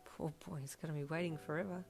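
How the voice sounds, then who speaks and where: A woman voicing a gentle, doting father in a story, soft and coaxing. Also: medium pitch, lively intonation, moderate pace, soft background music, voice pushed up, lightly acted. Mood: amused. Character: gentle, doting young father, quietly amused.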